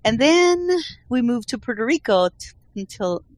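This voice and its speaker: A woman's voice: a little high, very animated, moderate pace, dry recording. A woman from Colombia, Spanish her first language, chatting casually and rambling through her story. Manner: rambling, restarts often, laughs easily. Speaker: guest. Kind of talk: casual chat.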